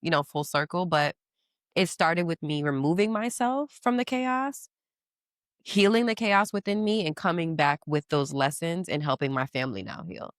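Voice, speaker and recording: A woman in her thirties speaking candidly as a podcast guest, animated and quick to laugh, with casual slang. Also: fairly low pitch, no echo, clean studio sound. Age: 30s. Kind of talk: casual interview chat.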